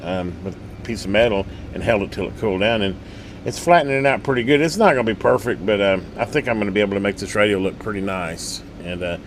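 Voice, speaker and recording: A man's voice: lowish voice, fast talker. A man giving a casual, rambling workbench demonstration in a folksy, colloquial way, like a hobbyist showing a repair. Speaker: radio repair hobbyist and channel host. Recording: steady background hum and noise.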